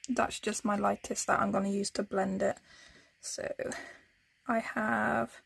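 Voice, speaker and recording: A soft-spoken woman chatting casually as she colours, musing to herself and often dropping into a whisper. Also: mid-range voice, little pitch movement, measured pace, creaky, slightly rough. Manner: talks to herself as she works. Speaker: colouring channel host. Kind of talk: casual narration while colouring.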